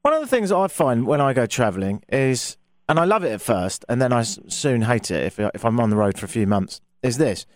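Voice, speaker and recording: A British man hosting an interview, chatty and animated, acting out little dialogues as he tells stories. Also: medium pitch, a little fast, no room sound, studio quality. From United Kingdom. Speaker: host. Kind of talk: casual interview.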